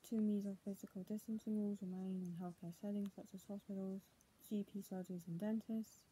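Young woman reading aloud, very mellow and dark. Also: mid-range voice, fairly even intonation, fairly quick. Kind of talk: reading news text aloud. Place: small bedroom indoors. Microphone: tablet's built-in mic at arm's length. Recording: clearly noisy, muffled home tablet recording.

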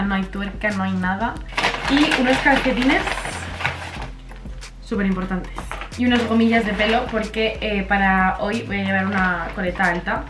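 A woman's voice: medium pitch, noisy background. A young woman in her twenties from Spain, chatting casually to her handheld camera in a vlog, upbeat and full of fillers, a little stuffy from allergies. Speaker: vlogger. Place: hotel room and outdoors in a city. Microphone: handheld camera at arm's length.

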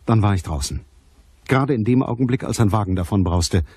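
Hard-boiled detective, low husky voice, terse, unsentimental, crime radio play narration. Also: male voice, fairly quick, mellow. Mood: matter-of-fact. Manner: terse, matter-of-fact storytelling. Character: hard-boiled private detective, cool, watchful, unsentimental man. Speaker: actor playing narrator and lead detective. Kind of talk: scripted first-person crime radio drama narration.

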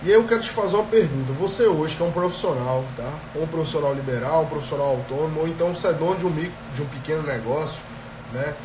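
A man's voice: fairly high pitch, fast talker, roomy sound, far from the mic, background noise. A man in his thirties with a Brazilian Portuguese accent hosts a live stream as a productivity coach. He has a cold and talks straight to viewers, checking in with them often. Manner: energetic, addresses viewers directly, frequent "tá?" checks. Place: small indoor room. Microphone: earphone headset mic on a phone.

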